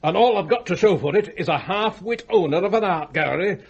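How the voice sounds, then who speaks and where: Husky, irascible old English magnate. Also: male voice, high-pitched, expressive, fairly quick, muffled recording, heavily acted. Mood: indignant, scornful. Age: older. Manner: blunt, swearing, peremptory. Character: irascible domineering old magnate, bitterly let down by family. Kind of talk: British radio play acting.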